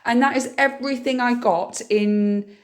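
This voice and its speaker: A woman's voice: a little high, steady tone, a little slow. A British woman chatting casually through a grocery haul vlog, sounding slightly nasal and a little scratchy from a cold. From United Kingdom. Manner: chatty, informal, explanatory. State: getting over a cold, slightly scratchy throat. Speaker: vlogger.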